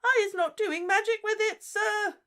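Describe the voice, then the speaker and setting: A reader voicing a small, frightened female house-elf who squeals and sobs in broken grammar, her voice squeaky and tearful. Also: steady tone, normal speed, pushed and bright. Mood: terrified, sobbing. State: crying.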